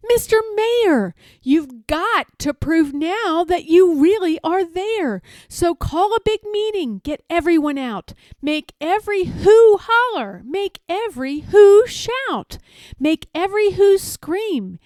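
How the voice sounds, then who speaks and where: Loyal storybook elephant acted by a woman: earnest, urgent, raised pitch with very lively swings. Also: takes it slowly, no room sound, exaggerated voice. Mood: alarmed, pleading. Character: big loyal gentle male elephant, earnest and pleading. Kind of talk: children's storybook read-aloud.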